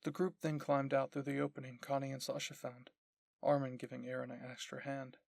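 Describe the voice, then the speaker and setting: A man's voice: mid-range voice, little pitch movement, fairly quick, gravelly, a little mellow, dry recording. A man reading scripted story narration as the narrator.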